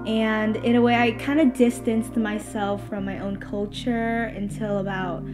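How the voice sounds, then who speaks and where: A teenage high school student born in Vietnam, speaking thoughtfully in a documentary interview with hesitant pauses. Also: female voice, fairly even intonation. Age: teens. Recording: music bed underneath.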